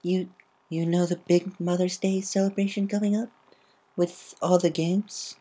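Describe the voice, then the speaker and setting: A woman's voice: lowish voice, flat delivery, measured pace, mellow, husky, pitched above the performer's own voice. A woman voicing a shy, nervous young Pegasus filly who stammers, hesitates and sounds apologetic.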